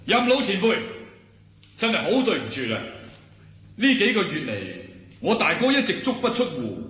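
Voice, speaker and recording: A man's voice: sits high, huge pitch swings, normal speed, fairly bright tone, exaggerated voice. A male actor with a Hong Kong Cantonese accent playing a greedy, scheming martial arts disciple in a radio drama, obsequious, formally polite and wheedling. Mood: apologetic, fawning. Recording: echoey reverberant chamber sound.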